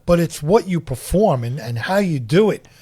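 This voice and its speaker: A man's voice: a little high, expressive, moderate pace, warm tone, husky. A man co-hosting a casual talk show who reacts with enthusiasm and chats freely. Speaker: co-host. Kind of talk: casual podcast chat.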